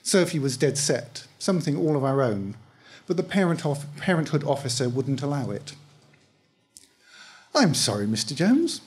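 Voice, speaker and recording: A middle-aged man with a husky voice, reading a first-person short story aloud in a conversational, wry way. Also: medium pitch, animated, moderate pace, darkish tone. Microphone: microphone on stand, close.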